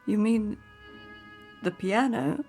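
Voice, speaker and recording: An actress in a radio drama playing a repressed, long-suffering wife of a cruel husband, with a formal, emotional delivery. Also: female voice, mid-range voice, lively intonation, slow pace, a little mellow, faint music behind. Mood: dazed, apologetic. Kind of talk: modern radio drama acting.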